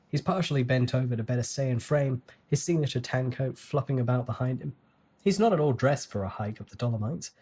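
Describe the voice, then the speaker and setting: Narrator reading a novel out loud, dramatic style, medium pitch. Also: husky.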